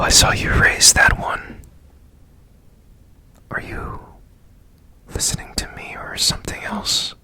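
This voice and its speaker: A man acts out a strange, curious robot repairman in an ASMR roleplay. He speaks in a slow, breathy whisper with crisp, bright edges. His gentle, soothing murmurs rise and dip freely as he works.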